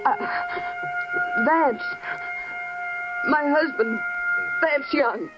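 A grieving young widow, her voice shaky and tearful, sitting very high and swinging widely in pitch. It comes through a muffled old radio broadcast under thick hiss. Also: wildly swooping pitch, drawn-out words, exaggerated voice. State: crying. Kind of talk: 1940s radio acting.

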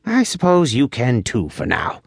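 A man voicing a tiny, proud male elf in a high-pitched storybook voice, with grudging and old-fashioned formal speech. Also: wildly swooping pitch, unhurried, vocal fry, husky, no room sound, clean studio sound, exaggerated voice. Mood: wary. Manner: grudging, archaic formal phrasing. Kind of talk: audiobook character voice.

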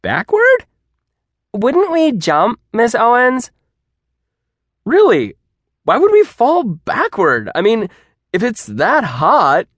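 A man voicing a skeptical, literal-minded high school student who questions everything, in an audiobook. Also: huge pitch swings, slow pace, pauses often, a bit bright, no room sound, exaggerated voice. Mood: incredulous. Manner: querulous, questioning. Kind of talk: audiobook character voice.

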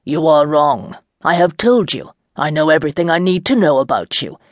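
A reader playing a commanding dark lord who speaks calmly and with quiet mockery. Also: normal speed, dry recording, clean studio sound. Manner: calm, low and assured.

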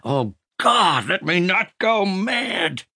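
An irascible old magnate, acted by a male audiobook reader, husky and rough-edged, with a blustering, imperious manner. His delivery swings widely in pitch, in a dry, close recording. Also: sing-song, slow, bright, forceful tone, no echo, full character voice. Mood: distressed. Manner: blustering, indignant. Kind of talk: audiobook character acting.

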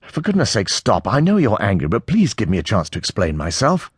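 A man's voice: on the low side, wildly swooping pitch, fairly quick, slightly rough, dry recording, studio quality, pitched above the performer's own voice, full character voice. A man voicing an angry, defensive male character in an audiobook, mocking and aggrieved. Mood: pleading. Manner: menacing, mocking, indignant.

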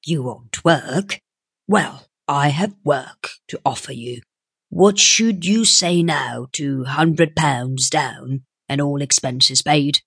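A woman voicing a sleek, shifty businessman in an audiobook, with a measured, formal and coaxing way of talking. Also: sits low, fairly bright tone, breathy edge, no room sound, studio quality, full character voice. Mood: oily, coaxing. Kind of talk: audiobook character voice.

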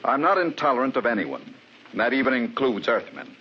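An actor playing a polite, earnest archaeologist who also narrates, in 1950s radio acting with a dull recording and hiss. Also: male voice, medium pitch, big pitch swings, a little fast, lightly acted. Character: earnest, tolerant male archaeologist. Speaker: narrator of the story.

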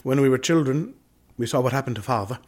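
A man plays an anguished gentleman in a radio drama, doomed by a family curse. His voice is husky and mid-pitched, and he speaks in expressive, old-fashioned upper-class phrases. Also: quick pace, lightly acted. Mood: grave, reminiscing.

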